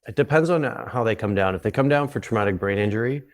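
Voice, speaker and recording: A man with a Canadian accent, a guest on an interview podcast, explaining his work in a relaxed way and often restarting his sentences. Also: on the low side, fast. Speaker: guest, psychedelic retreat founder. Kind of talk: conversational interview.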